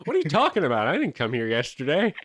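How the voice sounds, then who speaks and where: A man's voice: fairly high pitch, wildly swooping pitch, quick pace, a bit bright, dry recording, heavily acted. A man voicing a forgetful, dim, indignant crackpot inventor, baffled and defensive, in a comedy story. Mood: baffled denial.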